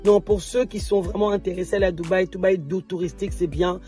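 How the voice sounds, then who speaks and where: A slightly hoarse woman, chatting to her vlog viewers in a mellow, warm voice. She talks casually, often restarting and repeating words. Soft music plays faintly behind her. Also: lowish voice, fairly even intonation, brisk. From DR Congo. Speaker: entrepreneur and vlogger.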